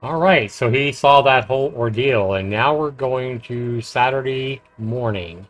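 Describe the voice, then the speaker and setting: A man streaming a visual novel, reading its narration aloud in a measured storytelling way with casual commentary. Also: mid-range voice. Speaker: game streamer. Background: game music.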